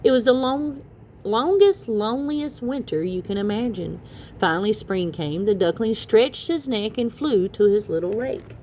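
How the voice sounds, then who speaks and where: A woman reading a children's story aloud in an expressive, animated storytelling manner. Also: mid-range voice, moderate pace. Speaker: children's story reader and channel host. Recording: noticeable background noise.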